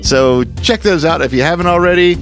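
A man's voice: fairly high pitch, a little fast, fairly bright tone. A man hosting a podcast, talking casually and partly off the cuff. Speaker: podcast host. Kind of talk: casual podcast sign-off, semi-improvised. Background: music.

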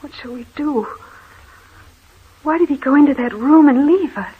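An actress playing a frightened, anxious wife in an old radio horror drama, fretful and pleading. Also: female voice, sits high, moderate pace, lightly acted. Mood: worried. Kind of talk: vintage radio horror acting. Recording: tinny, hissy old-time radio recording.

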